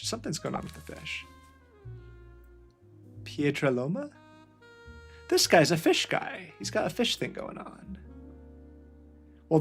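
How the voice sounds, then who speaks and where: A man's voice: a little high, gravelly. A man playing a detective game, musing aloud and often trailing off mid-thought. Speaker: video game player. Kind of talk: gameplay commentary.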